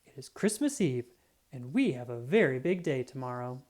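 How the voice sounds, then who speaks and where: A friendly squirrel cousin from a children's storybook, read by a woman, speaks in a mellow, lively voice. The manner is cheerful and inviting, with plenty of rise and fall. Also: a bass voice, normal speed, exaggerated voice. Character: friendly, hospitable squirrel cousin who loves Christmas.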